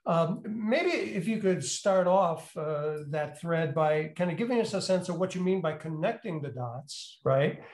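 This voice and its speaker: A man hosting an interview in a courteous, conversational way, with deliberate phrasing. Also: on the high side, expressive, darkish tone. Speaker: interview host, business school executive in residence. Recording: roomy, echoing sound.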